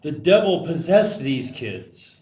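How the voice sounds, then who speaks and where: A man's voice: a little high, big pitch swings, slow, warm tone. A man playing a dogmatic pastor in a drama table read, preaching in a solemn, declamatory way. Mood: grave conviction. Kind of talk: screenplay table read sermon. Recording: dull, echoey room.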